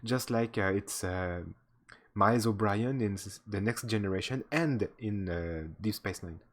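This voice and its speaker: A man streaming a retro game live, commenting in a halting way with many restarts. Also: fairly low pitch, a little hiss or hum.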